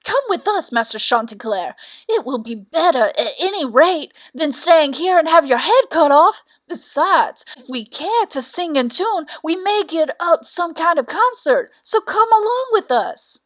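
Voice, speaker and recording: A woman's voice: very high-pitched, big pitch swings, measured pace, fairly bright tone, dry recording, voice pushed up, full character voice. Woman voicing a hearty, emphatic old donkey. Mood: hearty, exclamatory. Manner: emphatic, old-fashioned courteous speech. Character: old donkey, hearty, courteous would-be musician.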